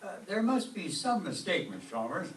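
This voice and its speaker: Man acting a stuffy, animated official, echoey. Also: sits high, normal speed, distant mic. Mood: courteous, assured. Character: stuffy, incredulous male school official. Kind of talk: live old-time radio comedy reenactment. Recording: roomy stage, off-mic, faint noise.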